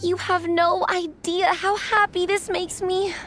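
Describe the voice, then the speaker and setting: A sincere young video game heroine, high-voiced and slow to speak. She sounds earnest and dutiful, over clear background music. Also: flat delivery, fairly bright tone.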